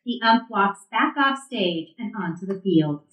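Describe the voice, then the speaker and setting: A woman's voice: medium pitch, lively intonation, unhurried, muffled recording. Echoey woman reading stage directions. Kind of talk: scripted narration. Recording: roomy, echoing sound.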